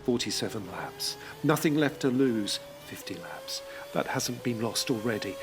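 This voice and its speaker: A man's voice: mid-range voice, wildly swooping pitch, a little slow, background noise. A man giving scripted dramatic narration in an intense, rhythmic way. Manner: intense, rhythmic, second-person at times.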